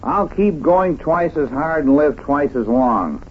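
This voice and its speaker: An actor playing a boastful, belligerent drunken husband in an old-time radio drama, with a taunting, slurred drawl. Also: male voice, fairly high pitch, expressive, slow pace, a little hiss or hum. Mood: belligerent boasting. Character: boastful, belligerent drunken husband, hard-driving high earner. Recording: dull vintage radio recording.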